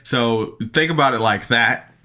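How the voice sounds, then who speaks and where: A man with a bright, forward voice at an average pitch talks like an excited fan reviewing a show on his own. He rambles casually, stopping to rephrase and double back, with slangy wording along the way. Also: a little slow. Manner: rambling, hesitant, often backtracking and rephrasing. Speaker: podcast reviewer.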